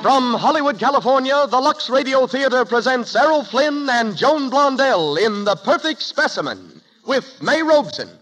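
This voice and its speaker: A male announcer opens a 1930s radio show. His voice is pushed extremely high as he loudly proclaims over music. The recording is an old broadcast. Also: expressive, normal speed, few pauses, low-quality recording. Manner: loud, proclaiming.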